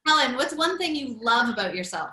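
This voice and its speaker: A woman hosts an interview with upbeat enthusiasm, laughing often and answering her guests with quick affirmations. Her voice is bright and forward, and the room around her rings with noticeable echo. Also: a little high, very animated. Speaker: podcast host.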